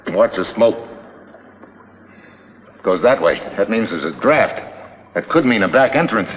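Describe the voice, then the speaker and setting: An actor playing a tough, wry investigator with an American accent, clipped and slangy, in 1950s drama style. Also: male voice, fairly low pitch, lively intonation, measured pace. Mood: urgent. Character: tough, wry, hard-boiled male investigator. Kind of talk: 1950s television drama acting. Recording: echoey, dull, noisy vintage soundtrack.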